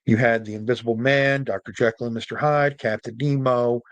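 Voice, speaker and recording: A man's voice: medium pitch, steady tone, brisk, dry recording. Chatty man hosting, dry close sound. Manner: chatty, jokey, rambling asides. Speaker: host and storyteller. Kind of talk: casual explainer monologue.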